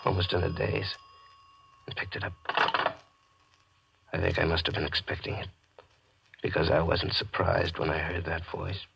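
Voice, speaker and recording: An actor playing a possessive, guilt-ridden gambler with an intense, hard-boiled manner in a 1940s radio mystery. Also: male voice, low voice, steady tone, measured pace, vocal fry, pauses often, muffled recording, very noisy, full character voice. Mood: somber narration. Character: possessive, guilt-ridden gambler narrating his panicked downfall. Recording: vintage radio broadcast with music behind.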